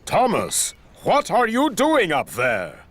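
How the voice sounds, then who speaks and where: A stern older railway boss in a children's cartoon. He scolds pompously in a slightly high voice, with hugely animated intonation. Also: slow pace, exaggerated voice. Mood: stern, scolding. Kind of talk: children's cartoon character acting.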